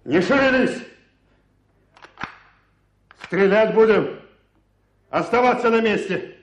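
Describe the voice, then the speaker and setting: An actor playing a harsh, gloating guard who barks curt commands in a Russian radio drama. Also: male voice, high voice, expressive, slow pace. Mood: barking.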